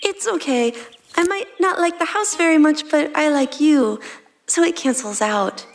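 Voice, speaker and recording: A woman's voice: high-pitched, animated, a little slow, pitched above the performer's own voice. A woman voicing a shy, earnest young anime girl who speaks in a sweet, hesitant way. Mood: shy, content.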